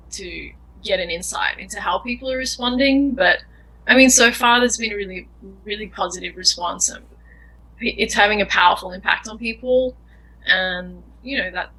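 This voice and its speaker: Woman, young adult, bright clear tone, earnest and reflective, interview answers. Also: medium pitch, rough recording. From Australia. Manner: earnest, thoughtful, searching for words. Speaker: filmmaker being interviewed.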